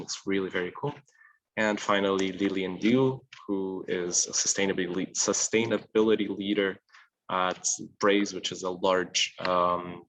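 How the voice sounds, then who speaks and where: A man's voice: low voice, a bit bright, a little hiss or hum, low-quality recording. A young man presenting an online talk over a video call, chatty and loose, with lots of "um"s and restarts as he speaks. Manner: casual, chatty, with frequent fillers and self-corrections. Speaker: alumni network project assistant presenting a webinar.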